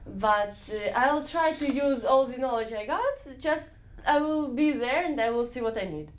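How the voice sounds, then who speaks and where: A young woman answering interview questions, searching for words with frequent pauses and restarts. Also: high-pitched, expressive. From Israel. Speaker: interviewee, volunteer dance leader.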